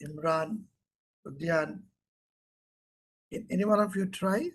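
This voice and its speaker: A man's voice: high-pitched, slow, slight background noise. A middle-aged man with an Indian English accent, giving an interactive online lecture, asking questions and repeating key terms for emphasis.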